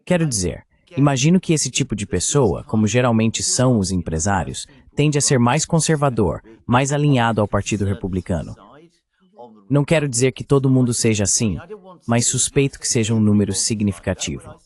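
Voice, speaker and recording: A man speaking in a measured, explanatory way, as in a dubbed political discussion. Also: medium pitch, sing-song, a little mellow. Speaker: AI voice reading a translated political commentator.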